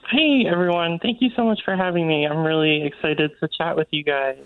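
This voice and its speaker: A man's voice: fairly high pitch, brisk, smooth. A man speaking as an author guest in a casual interview over the phone, effusive and earnest. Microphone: phone call. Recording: tinny, narrow phone line.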